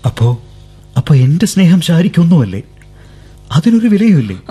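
A man's voice: on the high side, very animated, moderate pace, warm tone, breathy edge, full character voice. An actor playing a young man urging the woman he loves to leave with him, in a radio drama. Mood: pleading. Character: young man pleading with his beloved, earnest suitor. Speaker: lead character. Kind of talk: 1970s radio drama acting. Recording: old radio broadcast with faint music.

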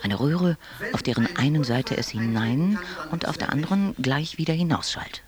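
A male actor plays a wry pundit in a radio play. His voice sits a little high and swings widely in pitch. He spins wordy, mocking remarks. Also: animated, moderate pace, crowd noise, lightly acted. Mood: wry, animated. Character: wry male pundit awaiting a dignitary's arrival. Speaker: commentator in a two-man exchange. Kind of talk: radio drama dialogue.

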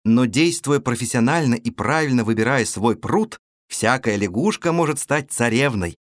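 A man reading a business audiobook as a narrator, in a measured, clearly articulated scripted delivery. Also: medium pitch, lively intonation, no echo, clean studio sound. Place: recording studio.

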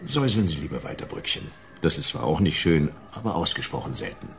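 An actor playing a world-weary, wisecracking private detective, narrating a radio drama in a dry, laconic, ironic way. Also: male voice, extremely low pitch, big pitch swings, fast talker, soft background music, exaggerated voice. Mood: defiant, mocking. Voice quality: gravelly.

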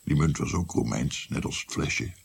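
A man playing an old grandfather in a radio drama, recounting his dark past with halting, musing delivery and a gravelly, breathy voice. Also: rumbling low, full character voice. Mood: hushed, musing. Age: older. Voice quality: husky, gravelly, often breathy. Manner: halting, musing, drops to whispers.

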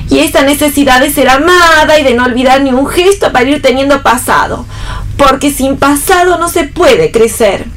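A woman's voice: sits high, moderate pace, fairly bright tone, faint music behind. A woman acting an anguished, determined young daughter in a radio play, with solemn theatrical delivery. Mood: yearning.

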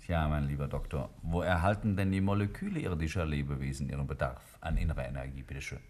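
A man's voice: extremely low pitch, fast, a little mellow. A man acting a self-assured scientist in a 1950s German radio play, arguing didactically and emphatically with slight irony. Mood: pointed, ironic. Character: Kingsley, self-assured male scientist arguing a bold theory.

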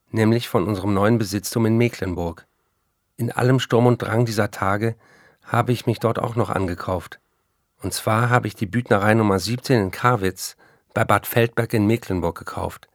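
Actor as gravelly, low-voiced restless writer. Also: male voice, moderate pace, no room sound, clean studio sound, lightly acted. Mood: earnest, composed. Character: addicted male writer, restless, narrating his life and letters. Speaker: actor in a radio play. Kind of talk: literary radio drama monologue.

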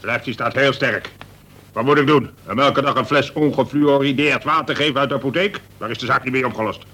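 An actor playing a flustered, irritable middle-aged colonel who runs a prison in a Dutch radio drama, blustering and sarcastic. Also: male voice, medium pitch, a little fast, sharp tone. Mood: exasperated.

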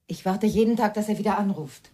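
An actress playing an anxious but determined middle-aged mother, with a husky voice, in a radio crime drama. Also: medium pitch, brisk, mellow, lightly acted. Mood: stubbornly hopeful. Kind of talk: 1990s German radio crime drama acting.

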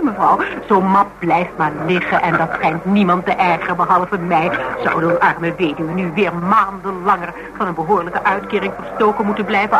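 An actress playing a sharp, scheming insurance investigator in a radio drama, teasing and talkative. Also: female voice, on the low side, noisy background, close to an everyday voice.

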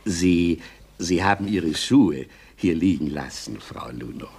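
A man's voice: deep voice, animated, slow, vocal fry, a little mellow, breathy edge, exaggerated voice. An actor playing a courteous, charming older father with a polite, courtly manner in a radio play. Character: courteous, charming old father visiting his grown son. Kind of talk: 1960s German radio play acting.

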